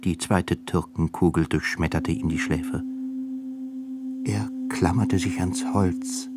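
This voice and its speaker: Male narrator, low voice, solemn measured reading for a radio play. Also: fairly even intonation.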